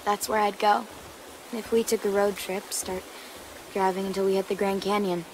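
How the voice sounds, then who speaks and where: A woman acting a tough teenage girl survivor in a game drama. She sounds dry and deadpan, at a middling pitch, over some background noise. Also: moderate pace, close to an everyday voice, low-quality recording. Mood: wry, calm.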